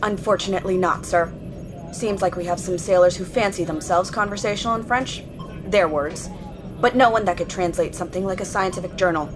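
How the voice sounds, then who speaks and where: Formal, lower-pitched female naval officer, actress. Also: a little fast, music in the background, close to an everyday voice. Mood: dutiful. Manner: formal, matter-of-fact. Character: crisp, deferential female naval junior officer. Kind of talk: audio drama acting.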